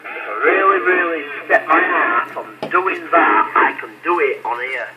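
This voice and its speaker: A man's voice: a little high, animated, a little slow. A man on a CB radio channel, arguing bluntly and swearing as he insists on his point. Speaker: CB radio operator and channel host. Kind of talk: argumentative radio chat. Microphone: CB radio handset. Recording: lo-fi CB radio transmission with static. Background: radio static hiss.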